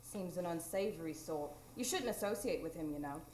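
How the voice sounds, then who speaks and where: A woman's voice: fairly low pitch, expressive, fairly quick, a little mellow, slight background noise, natural voice. A woman playing a feisty, plain-spoken landlady who scolds in a motherly, colloquial way, in a live radio play. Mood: nosy, chiding. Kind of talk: live radio comedy-drama acting. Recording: echoey live performance room.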